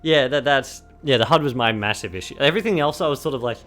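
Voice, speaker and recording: A young man co-hosting a casual gaming podcast, giggling and rambling with lots of fillers while his voice is going hoarse. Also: mid-range voice, lively intonation, quick pace, a bit bright, soft background music. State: losing his voice. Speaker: podcast co-host. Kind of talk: casual chat.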